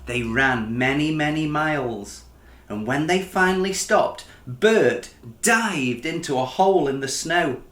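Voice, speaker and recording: A man reads a children's story aloud as its author. He takes his time and swings his pitch widely for expression. The sound is roomy, with noticeable echo. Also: lively intonation, unhurried, a bit bright. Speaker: author reading own book.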